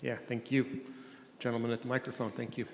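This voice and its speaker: A man speaking on a conference panel, talking in halting phrases with many restarts. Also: medium pitch, flat delivery, a little mellow. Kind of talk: panel discussion. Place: conference room. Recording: echoey hall, faint noise.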